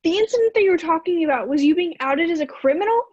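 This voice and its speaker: A woman plays a bewildered, indignant runaway girl in a stage drama. Her voice is high and incredulous. It sounds close and dry, slightly dulled. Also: animated, quick pace, a little mellow, dry recording, highs cut off. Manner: incredulous, pressing with questions. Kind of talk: amateur stage play acting.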